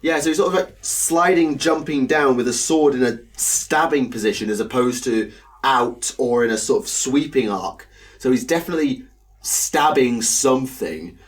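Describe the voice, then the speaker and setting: Young man, mid-pitch voice, halting, lots of uhs, casual podcast co-host. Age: young adult. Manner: hesitant, lots of ums and uhs. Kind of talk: casual chat.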